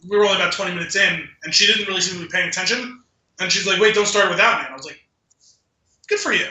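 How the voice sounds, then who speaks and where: A man co-hosting a casual podcast, telling rambling anecdotes in an animated, sardonic way and acting out both sides of conversations. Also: high voice, racing. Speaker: podcast co-host. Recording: roomy, echoey sound.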